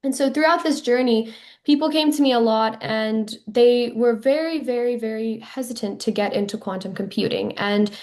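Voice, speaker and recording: A woman's voice: fairly high pitch, normal speed, darkish tone. A woman student on a panel, introducing her ventures in an earnest, enthusiastic way. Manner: earnest, enthusiastic, repeats "really".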